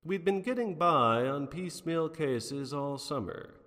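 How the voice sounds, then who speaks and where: A man's voice: on the high side, animated, measured pace, fairly bright tone. A man playing a wry, unflappable private detective in a 1940s noir radio drama, with a theatrical, wordy manner. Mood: wry, storytelling. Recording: long reverberant hall sound.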